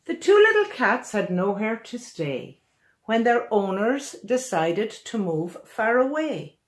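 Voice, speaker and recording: An older woman in her sixties reads a children's picture book aloud in an animated, expressive way, recorded in a small room at home. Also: fairly high pitch. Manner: animated, expressive, deliberate storytelling. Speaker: children's author reading own picture book. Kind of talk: storybook read-aloud for children.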